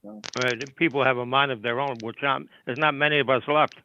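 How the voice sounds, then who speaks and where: A man's voice: medium pitch, steady tone, fairly quick, pushed and bright, a little hiss or hum. A man in his seventies calling in to a casual chat, talking in a chatty, agreeable way. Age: 70s. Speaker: caller. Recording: dry, muffled lo-fi line.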